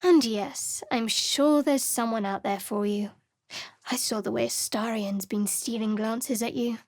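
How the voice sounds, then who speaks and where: Secretive young cleric lady for a fantasy game, dry and teasing, kinda high voice, super close dry sound. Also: steady tone, a little slow, darkish tone, dry recording. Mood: teasing, sly. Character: guarded, sardonic young woman, devout dark-goddess cleric in fantasy game. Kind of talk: video game companion voice lines.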